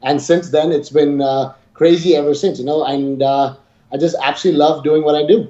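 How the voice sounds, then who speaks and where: Man in his thirties, slightly high mellow voice, from Dubai, talkative, informal interview answers. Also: steady tone, fairly quick. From Dubai, UAE. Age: 30s. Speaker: interview guest, gaming company regional director. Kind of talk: enthusiastic conversational interview answers. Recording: muffled, echoey room sound.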